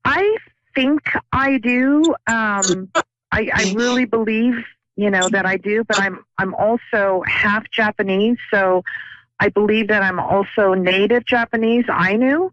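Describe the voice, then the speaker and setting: A woman's voice: mid-range voice, unhurried, a bit bright, dry recording. A woman calling in to a psychic show, speaking earnestly and hesitantly, often repeating words. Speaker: caller. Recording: dull dry phone-in line.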